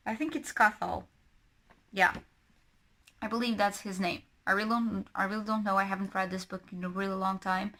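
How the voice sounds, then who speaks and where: A woman's voice: medium pitch, bright, forceful tone. A young woman from Portugal, chatting casually and rambling with many self-corrections while doing her makeup. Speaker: book and beauty vlogger.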